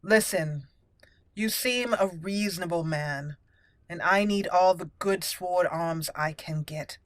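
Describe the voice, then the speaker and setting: A woman voicing a polite, earnest young noble elf mage in a game roleplay, speaking in a measured, formal way. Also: fairly low pitch, dry recording. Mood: earnest, persuasive. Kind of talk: roleplay reading of game dialogue.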